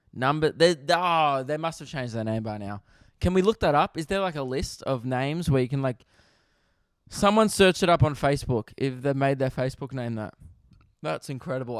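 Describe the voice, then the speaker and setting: An Australian man riffs through a casual podcast monologue, swearing freely and laughing at his own jokes. His voice is smooth and resonant, recorded close on a dry microphone with no room sound. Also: mid-range voice, dry recording. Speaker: comedian podcast host. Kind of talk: casual solo podcast chat.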